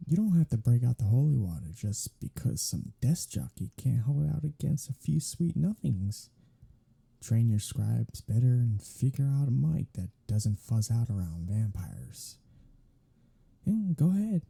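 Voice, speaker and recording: A man playing a captive vampire in an audio roleplay, snarky, taunting and flirtatious, drawling sarcastic lines in a husky, gravelly voice. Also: mid-range voice, very animated, unhurried, mellow, exaggerated voice. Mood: defiant, irritated. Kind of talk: scripted audio roleplay monologue.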